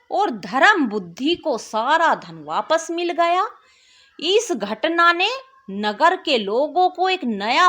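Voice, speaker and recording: Female storyteller, scripted moral tale, slow deliberate pace, very animated intonation, expressive channel host delivery. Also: sits high.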